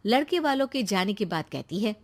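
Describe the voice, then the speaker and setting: A woman's voice: mid-range voice, big pitch swings, a little fast. A woman narrating a scripted moral story as its storyteller.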